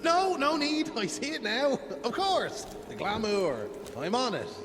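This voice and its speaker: A man's voice: extremely high pitch, sing-song, unhurried, heavy background noise, exaggerated voice. A man playing a fussy, haughty captive vampire illusionist in a game cutscene, prim and theatrical. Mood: nervous. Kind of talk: dramatic game cutscene acting. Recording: very loud music behind voice.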